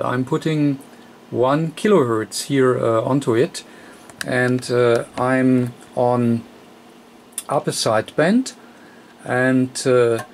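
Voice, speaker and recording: Slow, halting man giving repair tutorial. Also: mid-range voice. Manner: halting, hesitant, frequent long hesitations. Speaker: radio repair technician demonstrating. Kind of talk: unscripted hands-on repair tutorial. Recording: faint background noise.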